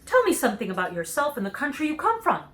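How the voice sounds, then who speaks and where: A woman's voice: high-pitched, huge pitch swings, quick pace, a bit bright, voice pushed up, exaggerated voice. A woman voicing a homesick, plainspoken little farm girl in a storybook, firm and sincere. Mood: curious.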